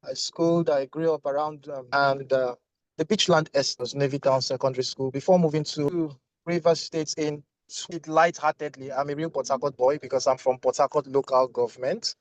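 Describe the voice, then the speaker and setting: A man from Lagos, a management consultant, speaking on a video call from his car in a measured, deliberate way. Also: a little high, fairly even intonation, no echo, rough recording. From Lagos, Nigeria. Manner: measured and deliberate, with a light joking touch. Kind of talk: panel discussion on a video call. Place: inside a car while driving.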